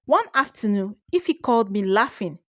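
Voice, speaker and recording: A woman with a Nigerian accent telling a scripted first-person story in an expressive, theatrical way. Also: mid-range voice, normal speed, dry recording, clean studio sound. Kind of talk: dramatic first-person scripted folktale narration.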